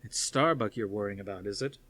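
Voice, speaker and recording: A man voicing a steady, fatherly older military commander in a science fiction story reading. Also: fairly low pitch, fairly quick, close to an everyday voice. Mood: patient, consoling.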